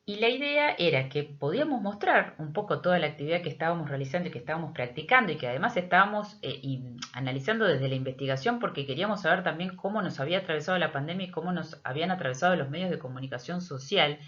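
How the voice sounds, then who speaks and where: A middle-aged Argentine woman, a teacher, explaining a project in a prepared but hesitant, measured presentation with frequent pauses. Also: low-pitched, lively intonation, fairly bright tone. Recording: dull, lo-fi audio.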